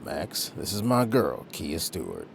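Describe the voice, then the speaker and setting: Long-suffering, good-natured male agent: very low, unhurried, dry and weary; audio drama with rumbling noise. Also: huge pitch swings, vocal fry, full character voice. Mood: dry, resigned. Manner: dry, groaning, understated.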